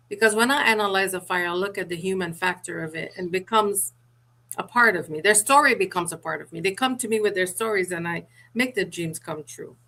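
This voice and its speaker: A middle-aged woman from Sierra Leone speaks as an author being interviewed. Her pitch is average for a woman, and she explains things earnestly, as if walking listeners through her career. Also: sharp tone. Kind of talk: interview answer about own career and book.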